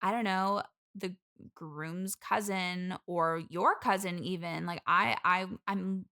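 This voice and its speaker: A woman plays an overeager single bridesmaid, desperate for friends. Her voice is bright and forceful with plenty of vocal fry. She chats in a gushing, needy way through a comic podcast interview, peppering her sentences with like. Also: lowish voice, fairly even intonation, slow, heavily acted. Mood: wistful, needy. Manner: gushing, clingy, chatty, heavy use of like. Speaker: interview guest. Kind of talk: improvised comedy interview chat.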